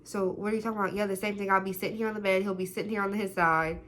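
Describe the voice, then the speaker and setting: A young woman telling a story to the camera, talking loudly and acting out what people said. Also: mid-range voice, racing, a little hiss or hum. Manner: loud, animated, quotes people in different voices. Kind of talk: casual storytime monologue.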